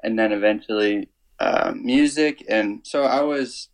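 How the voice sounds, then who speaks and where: A man in his twenties from Canada, a musician guest talking casually in an interview, low-key and hesitant, with many fillers and restarts. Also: measured pace. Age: 20s.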